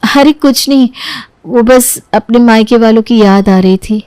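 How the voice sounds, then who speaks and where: Woman as young daughter-in-law, highish voice, polite, dutiful, deferential, storybook dialogue. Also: measured pace, warm tone, clear, resonant tone, no echo, lightly acted. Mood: gentle. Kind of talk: acted dialogue in a Hindi family storybook tale.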